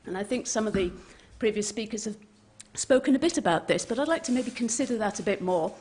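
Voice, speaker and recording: Woman, mid-pitched voice, conference speaker, informal and friendly delivery, addressing an audience. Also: animated, brisk, darkish tone. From Wales. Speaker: conference speaker, heritage official. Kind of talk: prepared conference presentation to an audience.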